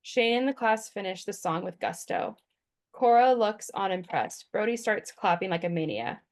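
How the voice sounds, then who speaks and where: A woman's voice: medium pitch, expressive, moderate pace. Narrator for table read: woman, mid-range, scripted scene descriptions. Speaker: stage-direction narrator.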